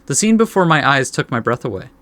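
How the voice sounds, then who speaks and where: A man's voice: mid-range voice, big pitch swings, quick pace, fairly bright tone, dry recording. Dry-sounding man reading a game aloud casually. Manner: informal, stumbles over the text and corrects himself. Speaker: let's play host. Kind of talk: casual visual novel read-aloud with commentary.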